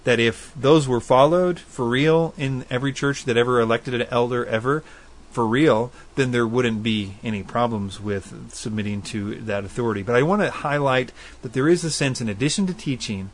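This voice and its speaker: A man hosts a faith-based call-in style radio program and works through listener questions in a relaxed teaching voice. He is earnest and thoughtful. He weighs his points as he goes and lays them out plainly. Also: medium pitch. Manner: earnest and thoughtful, spells out addresses slowly. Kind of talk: casual explanatory Bible teaching.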